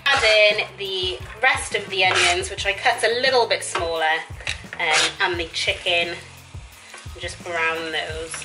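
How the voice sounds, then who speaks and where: A woman's voice: lowish voice, steady tone, a little slow, low-quality recording. Chatty young woman over music. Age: young adult. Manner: chatty, informal, playful asides. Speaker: cooking vlogger. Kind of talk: casual cooking narration. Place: home kitchen, indoors. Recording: music and frying sizzle behind voice. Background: music, sizzling food, stirring.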